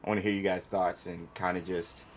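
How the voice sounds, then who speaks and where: A man's voice: deep voice, flat delivery, brisk. A man hosting a livestream, chatting casually and playfully with viewers in informal slang. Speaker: live stream host.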